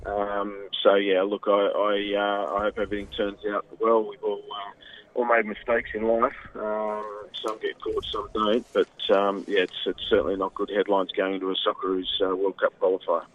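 A man's voice: fairly low pitch, monotone, moderate pace. A man with an Australian accent, a football pundit chatting on a radio show, enthusiastic and rambling with plenty of fillers. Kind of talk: radio phone-in commentary. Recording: tinny phone line.

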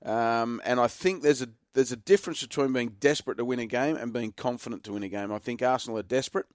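A man with a croaky voice, giving measured football analysis on a radio segment. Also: mid-range voice, dry recording, studio quality. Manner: measured, informative, self-correcting. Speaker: football pundit.

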